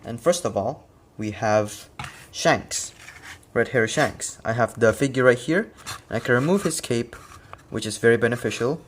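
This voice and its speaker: A man's voice: mid-range voice, normal speed, a little hiss or hum. Casual man walking through a custom figure. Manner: relaxed, explains step by step with fillers. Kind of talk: casual product walkthrough.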